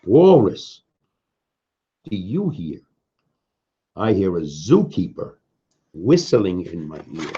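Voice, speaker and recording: A man's voice: sits low, very animated, slow, long pauses, mellow, husky. A man reading a picture book aloud to young children, with sing-song refrains, simple explanations and questions to the listeners. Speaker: children's story reader. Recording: dull, muffled lo-fi sound.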